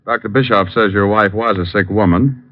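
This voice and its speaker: A man's voice: on the low side, moderate pace, close to an everyday voice. An actor playing a dry, wisecracking male private detective in a 1950s radio drama, narrating his own case. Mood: wry. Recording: dull lo-fi old radio broadcast.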